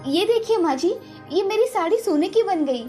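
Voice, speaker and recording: Woman as a meek, high-voiced young storybook bride. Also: animated, moderate pace, warm tone, faint music behind. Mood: excited. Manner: pleading, deferential. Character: meek, pleading young bride, anxious daughter-in-law.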